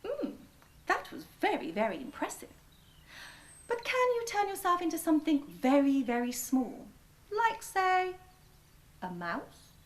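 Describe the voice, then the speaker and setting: A woman's voice: very high voice, huge pitch swings, unhurried, long pauses, pitched above the performer's own voice, exaggerated voice. A woman voicing a crafty male cat who stammers nervously and coaxes slyly, in a read-aloud storybook. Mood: sly coaxing. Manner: stammering, cajoling.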